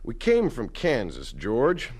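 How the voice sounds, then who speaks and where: A man's voice: medium pitch, wildly swooping pitch, slow pace, a little mellow, husky, exaggerated voice. An actor in an audio drama playing a kindly, talkative, plain-spoken rural foreman with a folksy manner. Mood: chatty, genial. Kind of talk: dramatized radio play acting.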